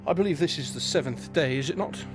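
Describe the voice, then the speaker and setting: A man's voice: a little high, fast, lightly acted. A man playing a wry, teasing divine guide in an audio drama, polite and lofty in manner. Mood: gently teasing. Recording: dramatic music underneath.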